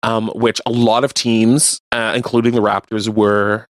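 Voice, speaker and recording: A man co-hosting a radio show, chatting casually about sports and explaining things in a halting way. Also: on the low side, flat delivery, no room sound, studio quality. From Canada. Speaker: radio co-host.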